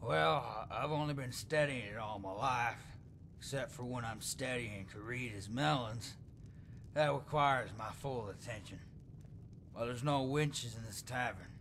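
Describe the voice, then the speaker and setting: Gravelly, crude tavern drunk. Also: male voice, mid-range voice, a little slow, a bit bright, slight background noise. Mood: sardonic, lecherous. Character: crude, lecherous drunken tavern patron, boastful and sarcastic. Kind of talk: video game character dialogue.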